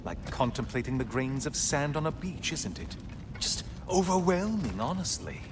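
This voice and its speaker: An actor playing a gleeful, mocking tormentor in a video game, chatty, theatrical and sarcastic with mock innocence. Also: male voice, mid-range voice, very animated, moderate pace, background noise, close to an everyday voice. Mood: needling. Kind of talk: dramatic video game acting.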